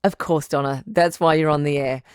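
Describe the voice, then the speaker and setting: Woman playing a composed, low-pitched radio advice doctor. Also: fairly even intonation, darkish tone, clear, resonant tone, no echo, studio quality, lightly acted. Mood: welcoming, attentive. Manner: measured, counselling, firm. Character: composed, frank female relationship doctor hosting radio. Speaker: radio advice show host. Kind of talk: scripted radio drama call-in show.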